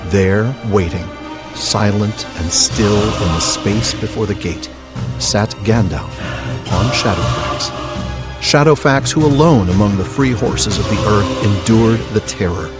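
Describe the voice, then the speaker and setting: A man co-hosting a book podcast, moving between casual talk and dramatic narration of fantasy prose. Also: fairly low pitch. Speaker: podcast co-host, book reader.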